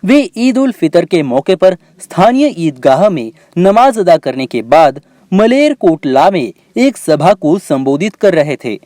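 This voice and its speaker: A man's voice: fairly high pitch, warm tone, no room sound. A man narrating news reports from a script as a reporter.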